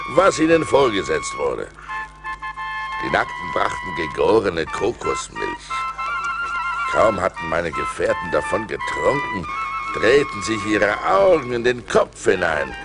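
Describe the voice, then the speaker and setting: An adventurous seafarer recounts his voyages like a dramatic storyteller. His lowish voice is precise and very expressive, with clear music behind it on a vintage audio-play record. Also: takes it slowly, exaggerated voice. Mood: grave, dramatic.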